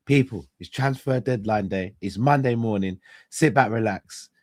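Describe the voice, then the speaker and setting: A young man hosts a casual live stream. His somewhat low voice is hoarse and stuffed up. He chats straight to his viewers and often backs up to restart a phrase. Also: normal speed, a little mellow. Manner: chatty, addresses viewers directly, restarts phrases. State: hoarse and congested, losing his voice. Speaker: host.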